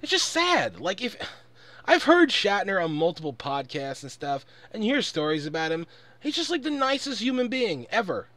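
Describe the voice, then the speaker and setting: A high-pitched man rants with exasperation in a very lively, swooping delivery. His tone is sharp and forceful, and he swears freely as he drives each point home. It sounds like an unscripted, irritated audio log. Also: moderate pace, dry recording. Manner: profane, exasperated, emphatic. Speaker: online commentator recording an audio log. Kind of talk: angry off-the-cuff rant.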